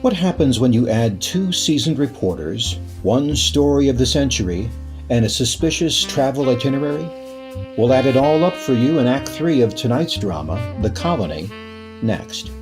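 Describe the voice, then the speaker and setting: Over clear plucked guitar music, a theatrical radio drama announcer, played by a man narrates with portentous suspense at a medium pitch. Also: expressive, moderate pace, natural voice. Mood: teasing suspense.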